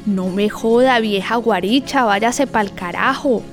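A woman playing a hostile, foul-mouthed figure in a radio drama, cursing with contempt in a gravelly voice. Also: fairly high pitch, measured pace, soft background music. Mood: contemptuous, angry.

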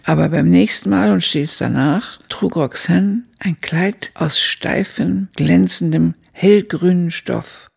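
A deeply creaky old woman narrates a radio drama. Her voice is badly worn and raspy, on the low, androgynous side. She looks back on her youth in a reflective, literary manner. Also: takes it slowly, hardly any pauses, darkish tone, full character voice. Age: older. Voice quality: raspy, gravelly. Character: elderly woman recalling a youthful summer, reflective.

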